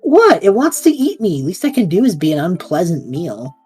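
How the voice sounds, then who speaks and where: A woman's voice: fairly low pitch, moderate pace, faint music behind. A woman voicing an eager, scatterbrained young male adventurer who jokes his way through danger, in a fan audio drama. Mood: excited. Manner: excitable, joking, reckless.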